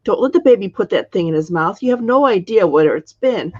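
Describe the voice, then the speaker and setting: A woman's voice: medium pitch, expressive, brisk, darkish tone, husky, muffled recording. A woman reading the part of a busy, watchful mother in a storybook. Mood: scolding. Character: busy, watchful mother calling out from her garden.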